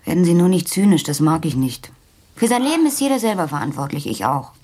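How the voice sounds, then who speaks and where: An actress playing a disillusioned, talkative young kept woman in a German radio play, sardonic and confiding, with a husky voice. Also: on the low side, very animated, fast, a little mellow. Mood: bitter, confiding. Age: young adult.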